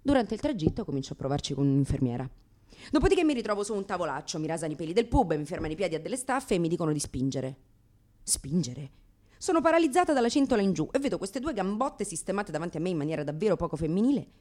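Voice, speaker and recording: A woman's voice: on the low side, very animated, fast, dark tone. An actress in a radio theatre monologue playing a brash, sarcastic, exasperated new mother in her thirties. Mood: wry recounting. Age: 30s.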